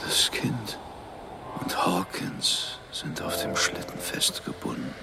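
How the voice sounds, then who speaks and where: An actor voicing a weary male polar explorer in a radio play, halting and half-whispered, drifting toward sleep. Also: low-pitched, sing-song, vocal fry, heavy background noise, full character voice. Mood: weary, delirious. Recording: studio radio play under loud music. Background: loud music.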